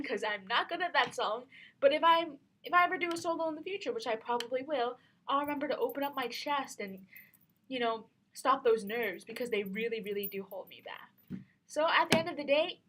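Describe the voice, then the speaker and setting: A teenage girl hosting her own vlog, chatting in a bubbly, animated way about what she has learned. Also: a little hiss or hum. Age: teens. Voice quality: low-sounding voice. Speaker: vlogger. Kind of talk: casual vlog talk.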